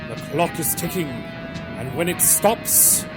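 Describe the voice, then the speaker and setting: A man narrating a radio mystery drama in a dramatic, measured scripted style. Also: fairly high pitch, expressive. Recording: narration over loud music.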